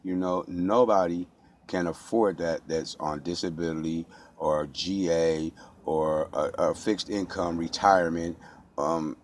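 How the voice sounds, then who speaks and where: A man's voice: low-pitched, steady tone, a little slow, slight background noise. An American man speaking off the cuff in an impassioned monologue, blunt and streetwise, with slang and heavy swearing. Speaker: local resident speaking out.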